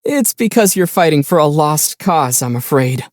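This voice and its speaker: A man voicing a young adventurer in a video game, calm, kind and dryly witty, with a gently teasing, unhurried delivery. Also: fairly high pitch, no echo, close to an everyday voice.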